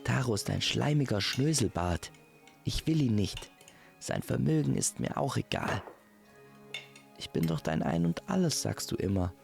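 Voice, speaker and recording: A man's voice: mid-range voice, big pitch swings, normal speed, gravelly, faint music behind, pitched above the performer's own voice. A man voicing a headstrong, haughty young princess in a storybook audio tale. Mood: defiant. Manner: haughty, strong-willed.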